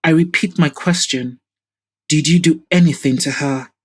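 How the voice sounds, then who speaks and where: A woman's voice: low voice, moderate pace, breathy edge. A woman plays a determined daughter in an audio drama. She is composed and polite, putting her questions in a cool, pointed way. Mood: cool, accusing.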